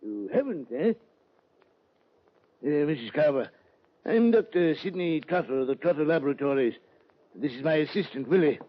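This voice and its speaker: An actor playing an oily, pompous fat villain posing as a doctor in a radio western, mock-courteous with drawn-out hesitations. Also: male voice, on the high side, lively intonation. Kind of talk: 1940s-50s radio western acting. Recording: dull lo-fi old radio recording.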